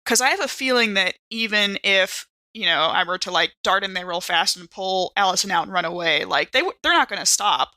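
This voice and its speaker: A woman playing a tabletop roleplaying game, talking casually and haltingly, with many filler words. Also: mid-range voice, lively intonation, sharp tone, no echo. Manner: halting, peppered with "like". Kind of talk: casual, conversational game chat.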